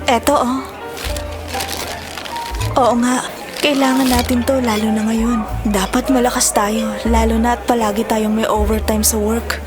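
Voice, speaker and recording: A woman playing a gentle, apologetic girlfriend longing to be accepted, in an earnest audio-drama style. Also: fairly high pitch, little pitch movement, unhurried, long pauses, music in the background, lightly acted. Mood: earnest worry.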